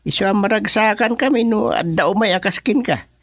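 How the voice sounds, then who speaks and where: A man's voice: sits high, a little fast, a bit bright, no room sound, clean studio sound. A Filipino man playing a genial village chairman in a radio comedy drama. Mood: cheerful.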